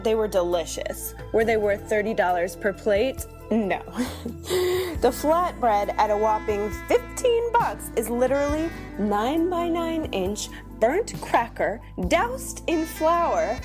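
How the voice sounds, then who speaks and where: A woman plays an exasperated young female online reviewer in a theatrical comedy monologue. Her high voice swoops with sardonic, melodramatic emphasis, and music plays clearly behind her. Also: exaggerated voice. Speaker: performer giving a dramatic reading.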